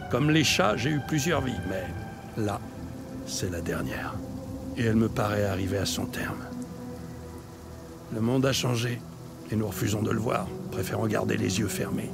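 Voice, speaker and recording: An actor playing a weary, ruthless aging mafia godfather, narrating his crime drama in a bitter, confessional way with a gravelly voice. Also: on the low side. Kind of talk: brooding first-person crime drama narration. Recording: loud music under the voice.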